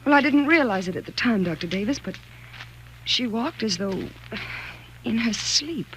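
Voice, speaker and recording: An actress playing a frightened, distraught wife in a 1940s radio thriller, speaking in an earnest, halting, dramatic way. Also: female voice, a little high, expressive, natural voice. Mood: anxious. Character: frightened, distraught wife narrating her own ordeal. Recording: noisy vintage 1940s radio recording.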